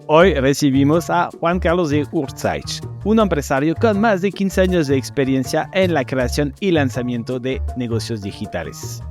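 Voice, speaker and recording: Man hosting a podcast interview. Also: fairly high pitch. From France. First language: French. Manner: enthusiastic, warm praise with frequent hesitation fillers. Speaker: podcast host. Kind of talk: scripted intro, then casual interview chat.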